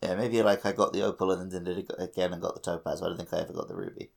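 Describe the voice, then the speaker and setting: A man co-hosting a gaming podcast in relaxed, informal conversation. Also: low-pitched, very fast, vocal fry. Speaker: podcast co-host. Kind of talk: casual gaming chat.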